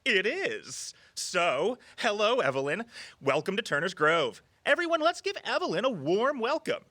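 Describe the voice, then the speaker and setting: A man's voice: high voice, big pitch swings, normal speed, pushed and bright, no room sound, heavily acted. An actor playing an affable, flustered male tour guide in an audio drama, chatty and hesitant. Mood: welcoming. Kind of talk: scripted audio drama dialogue.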